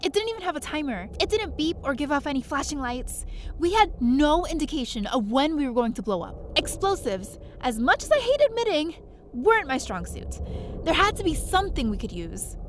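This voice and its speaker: A woman playing a plucky, quick-thinking space adventurer who narrates her own story in an audio drama with expressive, dramatic delivery. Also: high-pitched, a little hiss or hum. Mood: tense narration. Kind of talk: first-person narration and audio drama acting.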